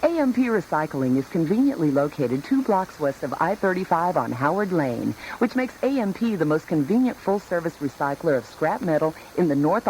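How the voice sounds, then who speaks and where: A woman's voice: fairly low pitch, a little mellow. A woman announcer reading a scripted local business commercial for radio. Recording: slightly noisy taped radio ad.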